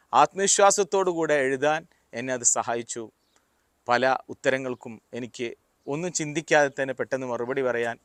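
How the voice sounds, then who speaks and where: A man giving a motivational talk, explaining deliberately in short, paused phrases. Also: medium pitch, a bit bright, no echo, clean studio sound. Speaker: motivational speaker. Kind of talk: motivational talk with personal anecdotes.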